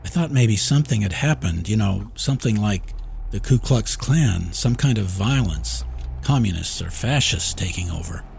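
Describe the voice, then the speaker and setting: Man acting a desperate, rattled store owner, gravelly. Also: lowish voice, expressive, normal speed, a little hiss or hum. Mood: nervous, placating. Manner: frantic shouting, pleading, later shaky and weary. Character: panicked, desperate small-town store owner, adult man. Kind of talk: audiobook character acting.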